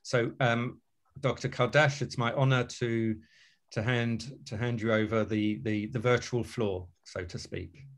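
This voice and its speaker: A man's voice: mid-range voice, steady tone, unhurried. A man introduces a guest speaker at an online webinar, speaking hesitantly with many ums and repeated words. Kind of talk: formal introduction. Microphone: video call.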